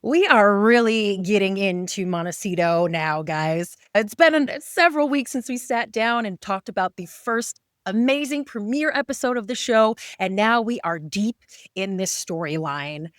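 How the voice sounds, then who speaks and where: A woman's voice: mid-range voice, animated, normal speed, no echo, studio quality. A woman hosting a podcast, talking in a conversational interview style as she recaps a show. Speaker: podcast host.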